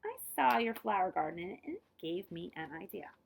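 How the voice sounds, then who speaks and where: A woman's voice: lowish voice, sing-song, normal speed, vocal fry, slight background noise. A woman voicing a storybook island grandmother who is firm about tradition but kindly, speaking in an instructive way. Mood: warm, pleased.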